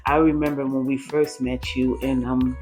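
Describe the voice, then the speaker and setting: A man's voice: mid-range voice, level tone, moderate pace, soft background music. An older man hosting a casual cooking chat, asking friendly questions with lots of fillers and affirmations. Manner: warm and curious, with frequent fillers and affirmations. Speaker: host.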